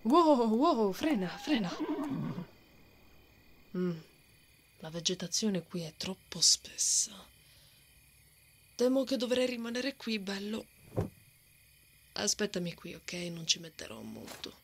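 A woman playing a brave, hot-tempered, bossy female knight in an ASMR roleplay, often breathy and muttering threats to herself. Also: medium pitch, sing-song, takes it slowly, long pauses, a little hiss or hum. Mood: urging, bossy. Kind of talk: ASMR roleplay, acted monologue. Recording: noticeable outdoor ambience effects behind.

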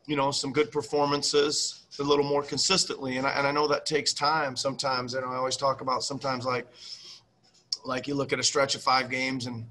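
A man's voice: medium pitch, level tone, a little fast, sharp tone. A middle-aged man, a basketball head coach, answering questions at a post-game press conference over a video call, rambling and restarting his sentences. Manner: rambling, repeats words and restarts. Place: small room before a team backdrop. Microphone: video call webcam.

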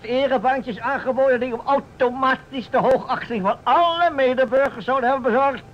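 An actor playing a pompous, blustering older small-town mayor, easily indignant, in a Dutch radio drama. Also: male voice, very high voice. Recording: muffled vintage radio recording with noise.

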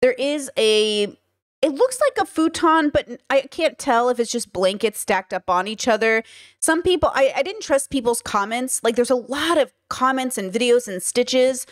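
A woman's voice: a little high, animated, moderate pace, dry recording. A young woman from Southern California giving casual reaction commentary, thinking aloud at a studio microphone in a small bedroom. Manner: chatty, thinks aloud, gestures a lot. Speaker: commentary YouTuber. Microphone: studio mic on boom arm.

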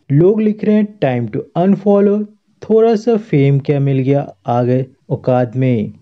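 An Indian man hosts a news roundup in a fairly high, very animated voice. He reads from a script and mixes in English phrases. The tone is mellow and the recording a little dull. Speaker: host of a YouTube news roundup.

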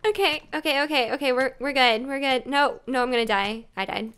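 A woman's voice: sits high, a little fast, fairly bright tone, smooth. A young woman co-hosting a gaming video in casual banter, often protesting.

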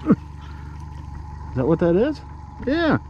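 A man chatting casually and excitably with a friend while fishing, playful, salty-tongued and apt to talk to the fish. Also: sits high, very slow. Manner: salty, swearing. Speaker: angler filming his own fishing outing. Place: outdoors on a boat on a lake. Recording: muffled lo-fi camera audio with outdoor noise.

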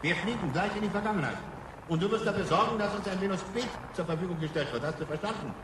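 A man's voice: sits high, quick pace, lightly acted. An actor playing a patient, grumbly grandfather and inventor in a radio play, explaining and coaxing in a hesitant way. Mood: patient, insistent. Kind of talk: German radio play acting. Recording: clear background noise.

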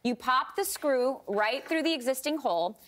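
A woman's voice: high-pitched, expressive, moderate pace, fairly bright tone. A woman in her thirties, upbeat and chatty, demonstrating home DIY projects as a guest on a daytime TV show. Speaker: lifestyle expert guest. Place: TV studio set with live audience.

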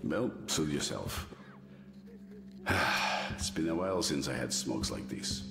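Hard-bitten game general: low, gruff, tired authority, reverberant sound. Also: male voice, big pitch swings, slow pace, loud music behind the voice, exaggerated voice. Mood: tired, resigned. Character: weary, hard-bitten male general, commanding and pragmatic. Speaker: general in a military command. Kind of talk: dramatic video game dialogue.

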